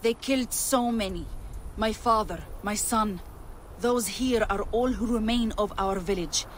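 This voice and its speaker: A woman's voice: mid-range voice, unhurried, slight background noise, lightly acted. An actress playing a grieving, vengeful refugee farm woman in a video game, earnest and resolute. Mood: grieving, pleading.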